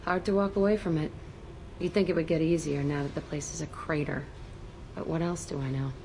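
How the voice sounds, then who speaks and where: A volatile, foul-mouthed young ex-convict in a sci-fi game. Her voice is very low and gravelly, rough and defiant in delivery. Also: fairly even intonation, fairly quick, darkish tone, low-quality recording. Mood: gruff. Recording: game audio with faint ambient noise.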